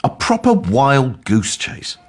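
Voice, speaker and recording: A man's voice: medium pitch, huge pitch swings, takes it slowly, full character voice. A British man playing a weary, disillusioned police detective in a radio drama, grumbling in plain-spoken style. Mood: bitter grumbling. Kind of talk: naturalistic radio drama acting.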